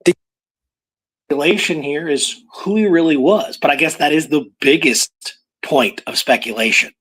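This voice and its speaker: A man's voice: fairly high pitch, normal speed, fairly bright tone, slightly rough, low-quality recording. A man co-hosting a show, talking casually through history and voicing imagined thoughts as he tells it. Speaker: radio show co-host.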